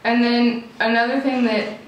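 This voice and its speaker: A woman interviewing casually, asking brief questions and agreeing quickly. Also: on the high side, little pitch movement, a little hiss or hum. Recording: echoey room, distant from mic.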